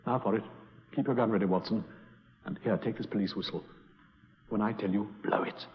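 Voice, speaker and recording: An actor playing a brilliant, dryly amused male detective in a vintage radio drama, speaking in a measured, precise way. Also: on the low side, a little hiss or hum, natural voice. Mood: hushed. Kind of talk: 1940s radio acting. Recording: muffled vintage radio recording with reverb.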